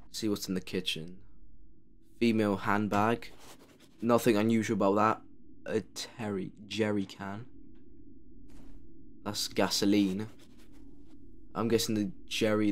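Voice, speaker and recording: A man commenting sarcastically while he plays a horror game. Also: lowish voice. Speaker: gamer playing a horror game. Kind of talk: let's play commentary.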